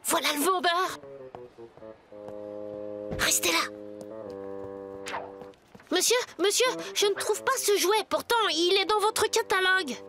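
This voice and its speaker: A brave, cheeky cartoon boy sidekick. The pitch is very high and childlike. Music plays clearly behind the voice. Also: slow pace, long pauses, sharp tone, exaggerated voice. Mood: brisk. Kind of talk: French animated series dub acting.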